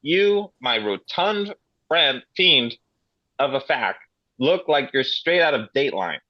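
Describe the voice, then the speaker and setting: A man's voice: mid-range voice, big pitch swings, slow pace, no room sound, lo-fi sound. A man reading a brash, foul-mouthed character's lines at a table read. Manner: brash and profane. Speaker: cast member at a table read. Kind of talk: casual table read performance.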